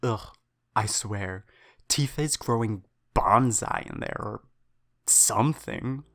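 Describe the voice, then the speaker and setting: A woman playing an excitable, impatient scientist on a starship, chatting to herself in an audio drama log. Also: extremely low pitch, takes it slowly, heavily acted. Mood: impatient, grumbling. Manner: animated, self-talking, grumbling.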